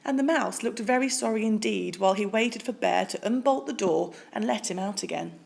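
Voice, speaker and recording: A woman reading a children's storybook aloud in expressive narration with deliberate, dramatic phrasing. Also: mid-range voice, gravelly. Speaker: storybook reader.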